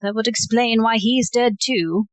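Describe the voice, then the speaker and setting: A woman's voice: on the high side, fairly even intonation, a little slow, no room sound, natural voice. A woman playing a poised, unflappable society lady in an audio play, genteel and wry. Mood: composed, diplomatic.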